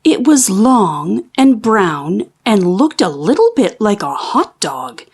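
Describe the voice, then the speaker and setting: A woman reading a children's storybook aloud in an expressive, theatrical and deliberate storytelling manner. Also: medium pitch, husky. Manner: theatrical, deliberate storytelling with drawn-out sound words. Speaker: children's book read-aloud host.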